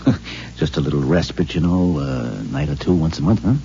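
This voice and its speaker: An actor playing a henpecked, eager friend in a 1950s radio drama, coaxing and hesitant with many fillers, on a dull old recording with faint hiss. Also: male voice, extremely low pitch, fairly quick, gravelly, warm tone. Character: henpecked middle-aged friend, eager and wheedling.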